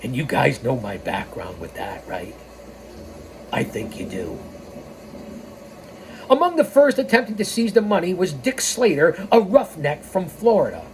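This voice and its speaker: A woman's voice: sits low, sing-song, unhurried, long pauses. A woman hosting a story time, reading a scripted history aloud with casual, playful asides. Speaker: storytime host and narrator. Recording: clearly noisy background.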